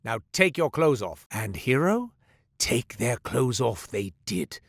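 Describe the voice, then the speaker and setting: An actor playing a sly, smooth-talking male merchant who spins tales while selling gear, in a game. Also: mid-range voice, very animated, slow pace, dry recording, studio quality, heavily acted. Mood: salesy. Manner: theatrical, salesman patter, wry. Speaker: shopkeeper narrating a tale. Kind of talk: studio game voice lines. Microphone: close studio mic.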